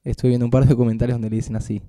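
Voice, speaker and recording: A man with an Argentine Spanish accent, co-hosting a casual podcast and telling anecdotes in a lively, joking way. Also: mid-range voice, racing, dry recording, studio quality. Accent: Rioplatense Spanish. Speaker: podcast co-host.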